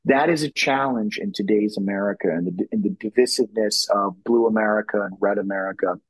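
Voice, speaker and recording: A man's voice: on the low side, brisk, husky, no echo, rough recording. A middle-aged man from Louisiana, a brand strategist talking in a podcast interview, rambling with many restarts and fillers.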